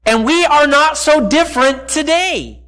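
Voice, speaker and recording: A man's voice: extremely high pitch, lively intonation, unhurried, sharp tone. A middle-aged American man giving a sermon as a pastor, conversational and explanatory, often stepping aside to address his listeners. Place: large church sanctuary, speaking from the stage.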